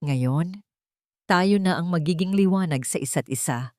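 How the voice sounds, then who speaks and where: A woman with a Filipino accent voicing a gentle, forgiving young wife and mother in a romance audiobook, tender and earnest. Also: fairly low pitch, measured pace, dry recording, clean studio sound, close to an everyday voice. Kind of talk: audiobook character dialogue.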